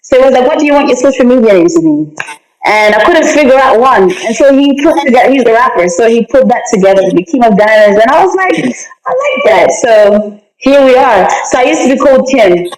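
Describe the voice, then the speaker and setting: A woman's voice: a little high, animated, brisk, darkish tone. A woman from Malawi, a podcast guest talking about her music program in an upbeat, encouraging way. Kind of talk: podcast conversation. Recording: roomy echo.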